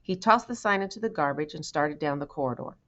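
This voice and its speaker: A woman's voice: deep voice, animated, fast, fairly bright tone, highs cut off. A woman reading a children's storybook aloud as narrator. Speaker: audiobook reader.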